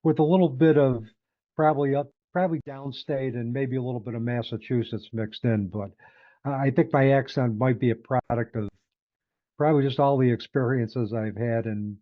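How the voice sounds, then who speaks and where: A man's voice: mid-range voice, steady tone, no echo. Older upstate New York man, phone-thin. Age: 60s. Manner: measured, thoughtful, with small restarts. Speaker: guest. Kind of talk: reflective interview conversation. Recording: tinny phone-like line.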